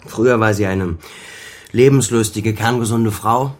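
An actor playing a weary, defensive son and former officer in a naturalistic radio crime drama, speaking in a bitter, guarded way. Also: male voice, on the low side, measured pace. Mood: bitter, sad. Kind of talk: naturalistic German radio play dialogue.

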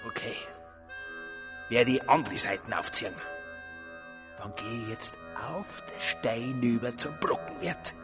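An actor playing a menacing ex-convict blackmailer in a radio drama, with a Bavarian accent and a coarse, taunting, mock-polite manner. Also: male voice, medium pitch, expressive, unhurried, long pauses, close to an everyday voice. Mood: threatening, mocking. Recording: long reverberant space, music underneath. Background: music.

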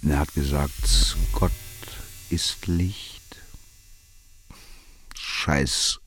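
An actor playing a bitter, crude condemned killer who makes brooding confessions in a radio drama. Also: male voice, fairly even intonation, very slow, a bit bright, in a whisper, heavily acted. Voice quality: very deep, gravelly. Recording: clearly noisy ambience behind voice.

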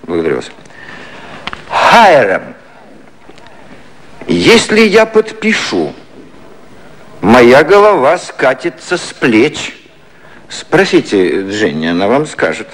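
Casting: a sly, courteous prime minister for radio satire; slow, theatrical, wide-swinging delivery. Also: male voice, medium pitch, huge pitch swings, exaggerated voice, rough recording. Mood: mournful irony. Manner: leisurely, ironic, theatrical phrasing. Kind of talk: satirical 1950s Soviet radio play acting.

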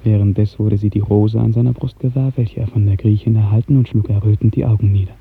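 A man reads literary narration for a 1950s radio drama. His voice is lowish and very husky, soft and breathy. He speaks earnestly and lyrically with careful diction, on a muffled, narrow old recording. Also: fairly even intonation, quick pace.

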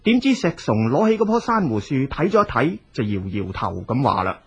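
A man's voice: medium pitch, wildly swooping pitch, fairly quick, slightly rough. A man with a Cantonese accent narrating a folk tale as a scripted audiobook storyteller.

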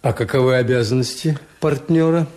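An actor playing a reserved, wary male hotel owner who narrates and speaks in a restrained, measured way in a radio drama. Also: medium pitch, darkish tone, natural voice. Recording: Soviet radio play with music underneath.

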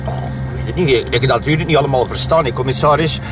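A man's voice: medium pitch, fast, music in the background, natural voice. An actor playing a flustered, chatty Flemish policeman in a comic radio drama, rambling in colloquial dialect. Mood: flustered, amused.

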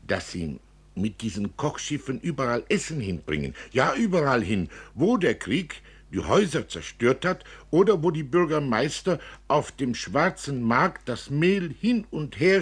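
A man's voice: mid-range voice, husky, voice pushed up. A man voicing a proud, naive young girl in a storybook reading, speaking emphatically like a know-it-all.

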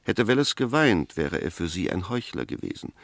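An eloquent defence advocate in a 1960s German radio drama. His voice is on the low side and recorded very dry. He argues insistently, with precise diction, emphatic stresses and scornful rhetorical questions. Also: very animated, normal speed, creaky, no echo, exaggerated voice.

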